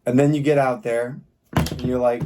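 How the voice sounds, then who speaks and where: A man's voice: medium pitch, flat delivery, normal speed. Plain-spoken man reviewing gear, noisy recording. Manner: plain-spoken, rambling, informal. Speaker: product reviewer. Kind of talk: casual unscripted review vlog. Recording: clear background noise with clicks.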